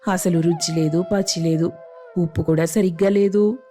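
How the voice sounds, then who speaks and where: A woman's voice: on the low side, fairly even intonation, normal speed, mellow, faint music behind, natural voice. A woman playing a haughty, demanding older female in-law who taunts her hosts in a comic story. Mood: scornful complaining. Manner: taunting, sarcastic, bossy. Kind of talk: comic moral story voice acting.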